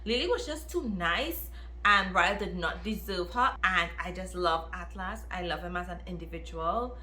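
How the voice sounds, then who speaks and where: A woman talks casually to her viewers in the style of a book review. Her voice is bright and forceful, and she is expressive, often repeating phrases to drive them home. The recording is roomy, with noticeable echo. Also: lowish voice, moderate pace, a little hiss or hum. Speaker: book reviewer, video host. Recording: roomy, echoey room.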